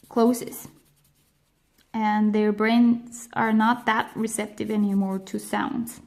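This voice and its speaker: A woman with a Swiss German accent, a native speaker of Spanish and Swiss German, explaining a language lesson in a careful, emphatic way with frequent pauses. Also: on the high side, a little mellow. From grew up on the other side of the globe. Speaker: language educator.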